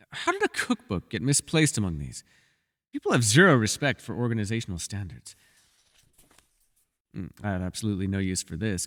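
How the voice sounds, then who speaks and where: A man's voice: medium pitch, fairly bright tone, big-room sound. A man playing a bookish, witty but guarded elf in a fantasy ASMR roleplay, speaking in a wry, wordy, formal way. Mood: teasing, distracted. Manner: wry, wordy and formal, flustered when pressed.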